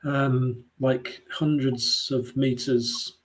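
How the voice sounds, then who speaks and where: A man's voice: mid-range voice, little pitch movement, extremely slow. A man competing in a pun contest, amused and chuckling, with hesitant fillers, over a video call. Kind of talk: quick-fire pun banter.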